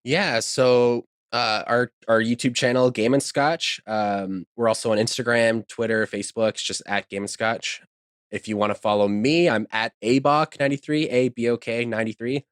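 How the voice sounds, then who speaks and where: A man's voice: medium pitch, fairly even intonation, normal speed, clean tone, dry recording, studio quality. A man speaking casually as a guest on a podcast. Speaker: guest voice actor. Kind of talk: casual chat.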